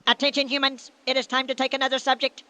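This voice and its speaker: Shrill, stern alien guard. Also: male voice, flat delivery, fast talker, background noise. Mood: stern, commanding. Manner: clipped, imperious, stilted formal commands. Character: stern, commanding alien guard herding human prisoners. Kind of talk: radio comedy drama acting.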